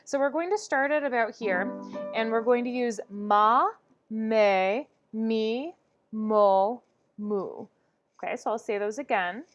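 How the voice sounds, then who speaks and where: A woman voice teacher talks slowly and patiently through a home vocal warm-up, with plenty of "um"s between her explanations. Her voice sits a bit high, and the recording sounds slightly dull, with faint music underneath. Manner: demonstrates vocal exercises, many "um"s.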